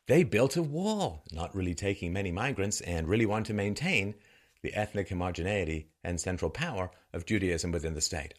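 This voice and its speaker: A man's voice: mid-range voice, pushed and bright. A man from Ireland and England delivering an opinion monologue in a sarcastic, rhetorical manner with theatrical emphasis. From born in Ireland, grew up in England. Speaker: host of a political commentary show.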